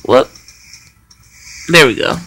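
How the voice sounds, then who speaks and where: A woman's voice: takes it slowly, sharp tone. A woman hosting a let's play, giving casual, chatty gaming commentary. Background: music.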